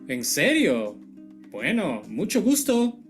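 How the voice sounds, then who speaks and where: A woman's voice: sits low, wildly swooping pitch, slow pace, soft background music. A woman hosting a scripted language-lesson video in a playful, jokey manner. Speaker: video host.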